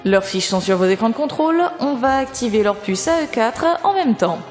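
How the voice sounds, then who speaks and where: A woman's voice: on the high side, expressive, a little fast, lightly acted. Woman doing a bossy stern lab chief in an audio drama, curt orders, big echoey hall, music behind. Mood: authoritative, businesslike. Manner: commanding, curt, authoritative. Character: stern, foul-mouthed female boss of a government lab. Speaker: lab director. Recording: long hall reverb, music underneath.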